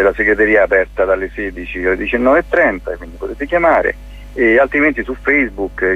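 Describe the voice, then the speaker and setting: A man speaks as a radio interview guest in a low, husky voice. He explains things informally and haltingly, searching for his words. The sound is dull and lo-fi. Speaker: interview guest, photography teacher and event organiser.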